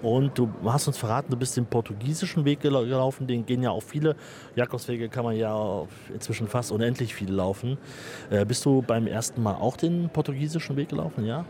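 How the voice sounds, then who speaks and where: Man, radio co-host, mid pitch, chatty, joking, lots of fillers, outdoors. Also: brisk, dark tone, slight background noise. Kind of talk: casual radio interview. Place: outdoors on a city square.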